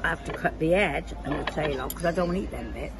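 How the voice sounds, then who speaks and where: A woman's voice: sits low, fairly quick, a crowd in the background. An older woman presenting a casual travel vlog outdoors, chatty and enthusiastic, recorded on a handheld phone. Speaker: travel vlogger. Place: outdoors in a sunny town and cafe terrace. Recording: outdoor phone recording with loud background noise.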